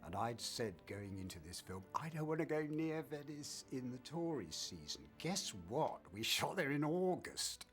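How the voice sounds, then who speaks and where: A man's voice: mid-range voice, big pitch swings, normal speed, few pauses, a bit bright, faint music behind. A man, a film crew member telling a production anecdote. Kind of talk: interview anecdote.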